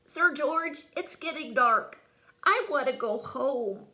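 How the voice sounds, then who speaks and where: Female reader as little boy dragon, storybook character, gentle, plaintive, discouraged, high-pitched, unhurried. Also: expressive, heavily acted. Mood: homesick. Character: small, gentle, discouraged young male dragon.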